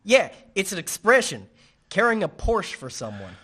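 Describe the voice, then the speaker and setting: A man's voice: sits high, huge pitch swings, moderate pace, fairly bright tone, breathy edge, heavily acted. A man playing a naive, earnest marina worker in a radio play, guileless and eager.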